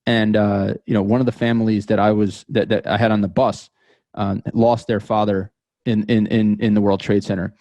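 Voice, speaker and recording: An American man in his thirties telling personal stories as an interview guest, thoughtful and halting, often repeating words as he goes. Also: lowish voice, flat delivery, warm tone, no echo, highs cut off. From New York metropolitan area, United States. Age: 30s. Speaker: podcast guest. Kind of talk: reflective interview storytelling.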